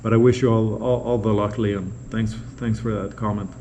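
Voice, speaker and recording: Low, mellow-voiced Norwegian man vlogging. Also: flat delivery, normal speed, a little hiss or hum. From Norway. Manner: rambling, repeats words and phrases. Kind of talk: casual unscripted vlog replies to viewer comments.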